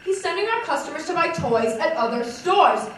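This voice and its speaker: A woman's voice: high-pitched, very animated, normal speed, a bit bright. A young woman playing a fussy, emphatic 1940s store supervisor in a radio-style stage drama. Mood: indignant. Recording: echoey hall from far off, faint noise.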